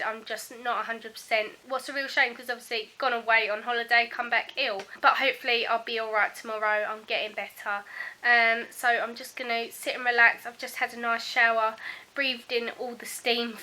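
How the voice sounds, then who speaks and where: A woman, hoarse and croaky from a sore throat, chatting casually to her camera at home with plenty of ums. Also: fairly high pitch, sharp tone. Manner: chatty, many ums, unhurried. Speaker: family vlogger. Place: at home, indoors.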